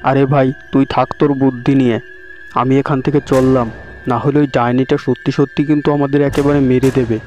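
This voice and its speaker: A man's voice: medium pitch, level tone, quick pace, darkish tone, highs cut off, soft background music, natural voice. Cartoon casting: man acting a worried, principled young village fellow in a mid-range male voice, protesting anxiously. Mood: frightened, protesting. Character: timid, honest young village man, the schemer's friend. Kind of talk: cartoon dialogue.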